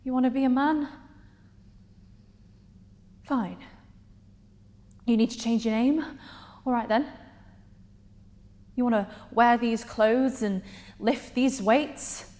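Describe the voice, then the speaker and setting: Slow, wounded, defiant young woman acted by a woman. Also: a little high, a little mellow, voice pushed up. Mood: hurt defiance. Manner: deliberate, pause-heavy, emphatically articulated. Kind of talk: dramatic stage monologue.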